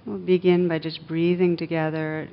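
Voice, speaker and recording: A woman leading a guided meditation as a teacher, speaking gently and soothingly, with long unhurried pauses. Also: level tone, gravelly. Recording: dull muffled recording with faint rumbling noise.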